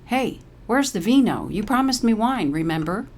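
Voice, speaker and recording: A woman voicing a crotchety, sharp-tongued old woman from a retirement home story, brash, demanding and wisecracking. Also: mid-range voice, lively intonation, normal speed, voice pushed up, close to an everyday voice. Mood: impatient, barking.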